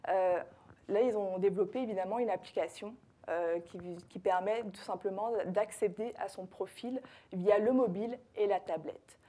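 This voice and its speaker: A woman's voice: mid-range voice, normal speed, warm tone. A woman from France with a French accent, a consultant giving a conference talk to a live audience, hesitant, with many fillers and self-corrections. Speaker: social media consultant and trainer.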